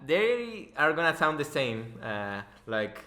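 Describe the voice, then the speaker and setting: A man's voice: fairly high pitch, sing-song, slow, bright, forceful tone. A young man hosting a podcast as casual chat, joking and swearing informally. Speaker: podcast host, interviewer. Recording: echoey room.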